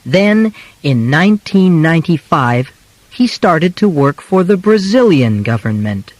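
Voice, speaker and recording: A man reading a simple scripted biography as an audiobook, in deliberate, clearly separated phrases. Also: a little high, very animated, dry recording. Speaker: audiobook narrator.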